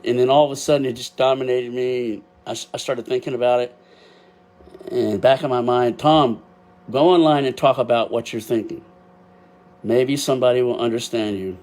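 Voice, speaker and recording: Emotional older Texan man rambling. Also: medium pitch. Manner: emotional, rambling, laughs often; loud at times, deaf and can't hear himself. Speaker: podcast host. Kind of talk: casual solo podcast talk. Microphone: built-in webcam microphone.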